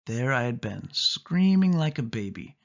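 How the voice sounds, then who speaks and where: A man narrating a suspense story in the first person, with expressive, dramatic delivery. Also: mid-range voice, a little slow, gravelly, highs cut off. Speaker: audiobook narrator.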